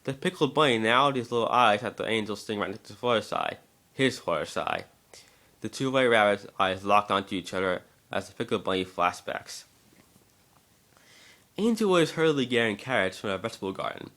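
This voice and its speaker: A man's voice: on the low side, animated, fairly quick, bright, forceful tone. A man giving an amateur scripted narration of a story, now and then stumbling over the words.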